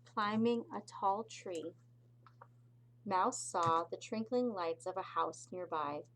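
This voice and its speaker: A woman teacher reads a picture book aloud for young children, expressively and deliberately, adding little explanatory asides. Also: on the low side, a bit bright, slight background noise. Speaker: pre-K teacher reading aloud.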